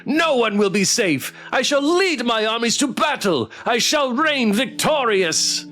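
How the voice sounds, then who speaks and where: A man voicing a megalomaniac female overlord from a visual novel, making grandiose, menacing, drawn-out declarations. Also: very high voice, expressive, sharp tone, soft background music, pitched above the performer's own voice, exaggerated voice. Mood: grandiose menace. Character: megalomaniac female would-be overlord bent on conquest. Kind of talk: theatrical visual novel villain read-aloud.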